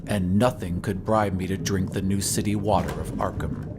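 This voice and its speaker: Guy narrating an old horror tale like an audio drama, measured and literary, some noisy ambience behind him. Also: low-pitched. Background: ambient sound effects.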